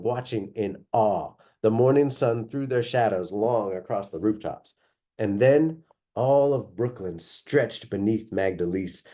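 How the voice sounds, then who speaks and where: Expressive man reading a story. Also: medium pitch. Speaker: author or reader reading a story aloud. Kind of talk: expressive read-aloud storytelling narration.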